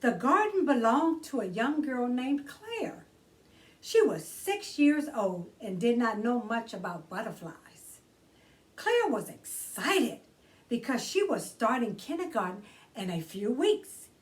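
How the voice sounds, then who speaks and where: A woman's voice: fairly high pitch, very animated, measured pace, distant mic. A woman hosting a children's storytime, reading a storybook aloud expressively and addressing young listeners directly in a theatrical way.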